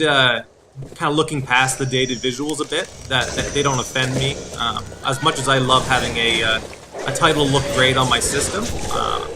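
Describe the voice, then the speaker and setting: A man hosting a gaming video, explaining as he plays in casual commentary with a halting delivery. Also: mid-range voice, noisy background.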